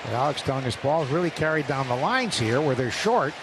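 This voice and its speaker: A man giving calm, analytical color commentary during a radio baseball broadcast. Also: medium pitch, fairly quick. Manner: calm analytical remarks between plays. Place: broadcast booth at a ballpark. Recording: radio broadcast with crowd noise.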